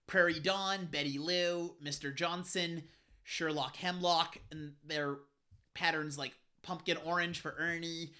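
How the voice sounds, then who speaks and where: A bright, sharp-sounding co-host joins a casual podcast chat. The answers come short and eager, in loose, rambling phrasing. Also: medium pitch, fairly even intonation, unhurried, clear, resonant tone.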